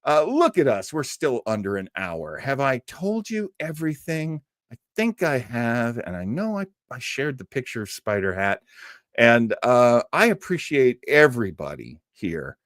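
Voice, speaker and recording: A man hosts a casual live show in a dry, close-sounding space. His mid-pitched voice moves up and down animatedly as he rambles along to his viewers. He is halting and self-deprecating, and laughs easily. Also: very animated, a bit bright, no echo. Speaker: host of a live old-time radio show stream.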